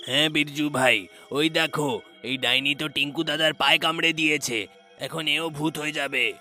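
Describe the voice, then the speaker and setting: An alarmed village man from a cartoon tale, played by a man, with a very bright, piercing, fairly high voice. He speaks urgently and insistently over music. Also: steady tone, normal speed. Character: alarmed village man urging drastic action.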